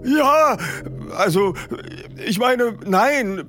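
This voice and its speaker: A man's voice: extremely high pitch, animated, drawn-out words, bright, forceful tone, soft background music, pitched above the performer's own voice, full character voice. A man voicing a frightened elderly man of nearly eighty who stammers and gasps for breath. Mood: panicked.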